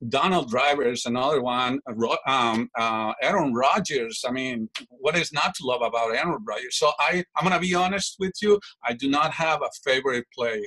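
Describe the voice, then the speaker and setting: A man's voice: mid-range voice, moderate pace, hardly any pauses, bright, forceful tone, low-quality recording. Brief: middle-aged man, chatty, self-correcting guest. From Mexico. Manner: rambling, self-correcting storyteller. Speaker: guest fan. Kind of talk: casual interview answers.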